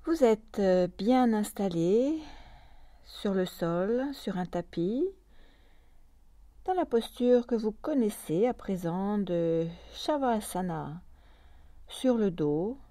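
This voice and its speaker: Very slow, soft female meditation guide. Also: medium pitch, no echo. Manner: calm, soft, long pauses between short phrases. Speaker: yoga nidra meditation guide.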